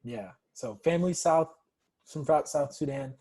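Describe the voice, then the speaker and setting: Easygoing host with a mellow, husky voice. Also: male voice, a little high, moderate pace. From St. Louis, USA. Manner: easygoing, many affirmations like yeah. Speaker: podcast host. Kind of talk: casual podcast conversation.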